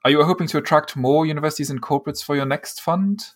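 A man interviewing a guest, asking quick, upbeat questions. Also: on the high side.

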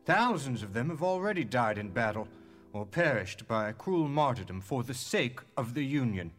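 An actor playing a proud, resolute 19th-century petitioner in a theatrical reading, declaiming with firm emphasis. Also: male voice, medium pitch, very animated, normal speed, soft background music, close to an everyday voice. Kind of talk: theatrical dramatic reading of historical documents.

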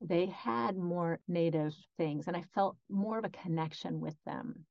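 A woman voicing a guest's answers as an interpreter in an interview, speaking in measured phrases with frequent pauses. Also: lowish voice, gravelly, no room sound. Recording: dry, slightly dull lo-fi audio.

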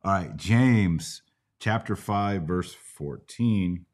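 A man's voice: sits low, very slow, a little mellow. A middle-aged American man hosting a conversational Bible Q&A, teaching in a didactic way with questions to check understanding. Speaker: podcast host and Bible teacher.

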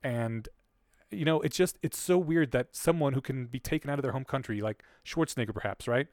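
A man co-hosting a podcast, chatting casually and thinking out loud with curiosity; his first language was Polish. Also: medium pitch, brisk, no echo, clean studio sound. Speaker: podcast co-host. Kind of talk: casual discussion.

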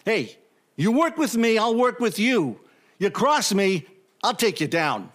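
A man playing an eager, scrappy and somewhat hapless office temp and husband in an audio comedy, with a folksy, excitable manner. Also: high voice, a little slow, exaggerated voice. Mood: gruff, stubborn. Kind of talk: audio comedy drama acting.

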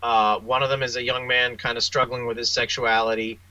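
A man speaking as an interview guest, a writer telling wry, self-deprecating anecdotes in a casual, animated way. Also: medium pitch, brisk, dull audio.

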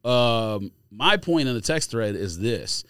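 A man's voice: medium pitch, big pitch swings, slow, a bit bright, smooth, dry recording, clean studio sound. An American man co-hosting a podcast, arguing insistently in a casual sports debate. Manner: argumentative, insistent. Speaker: podcast co-host.

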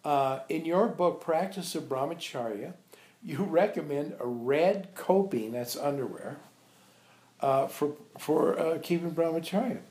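A man informally reminiscing to visitors as a spiritual teacher, telling animated anecdotes and acting out remembered dialogue. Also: fairly high pitch, measured pace. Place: echoey indoor room at an ashram. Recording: roomy, echoey sound.

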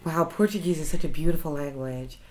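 Low-voiced woman podcast host. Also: fairly quick, a little mellow, slight background noise. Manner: engaged, asks follow-up questions. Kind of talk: podcast interview.

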